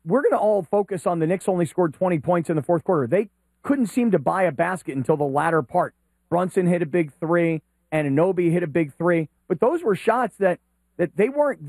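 A man's voice: high voice, darkish tone, dry recording. A man in his fifties co-hosting a casual sports talk show, talkative and repeating words as he builds his point. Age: 50s.

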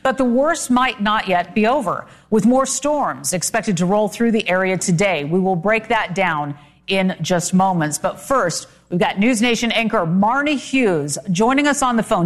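Composed woman news anchor. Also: medium pitch. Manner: clear, composed, serious.

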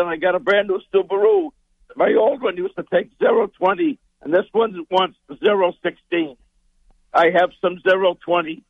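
A hoarse man calls into a radio show, his voice high and strained. He asks his questions plainly and directly. The sound is thin and tinny, like a phone call. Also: dry recording. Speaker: caller.

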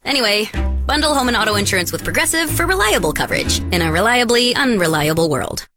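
A woman's voice: a little high, expressive, fast talker, music in the background. A woman reading a radio ad in a wry, conversational way. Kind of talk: scripted radio ad.

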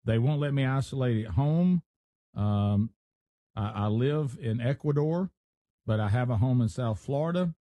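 A man's voice: mid-range voice, measured pace, warm tone, dry recording. A man hosting a talk radio show, reading out a news story and adding his own asides in casual banter. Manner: reads news aloud with asides.

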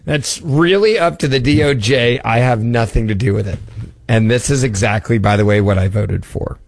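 A man's voice: mid-range voice, normal speed, creaky, dry recording. A man hosting a talk radio show, talking in a sarcastic, conversational way. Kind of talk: opinionated talk radio monologue. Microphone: studio mic.

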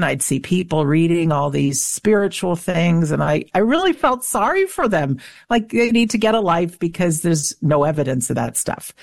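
A middle-aged woman with a low voice and noticeable vocal fry. She talks in an earnest, emphatic way, like a talkative interview guest, and the recording is dry and close. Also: dry recording. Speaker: interview guest, afterlife show host and author. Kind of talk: conversational radio interview, personal storytelling.

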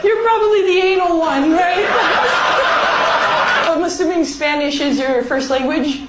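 A woman's voice: extremely high pitch, a little slow. A woman whose first language is Italian, doing teasing crowd work on stage and often laughing as she talks. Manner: teasing, laughing through lines. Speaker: stand-up comedian. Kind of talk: crowd work in a comedy set. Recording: echoey live club with loud crowd. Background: loud crowd noise.

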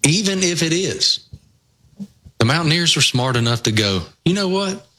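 Bright-voiced Southwest Virginia host. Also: male voice, fairly high pitch, moderate pace. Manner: storytelling, folksy and animated. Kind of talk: casual sports talk.

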